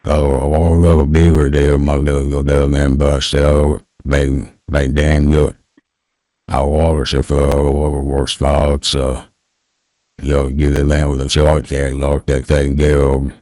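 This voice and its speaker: Very deep, mumbling backwoods old-timer. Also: male voice, completely flat delivery, warm tone, no room sound, studio quality. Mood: rambling, folksy. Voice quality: mumbled, slurred, garbled through a voice changer. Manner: rambling, halting, repeats words. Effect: pitch-lowering voice changer. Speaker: scam baiter. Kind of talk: prank phone call in character.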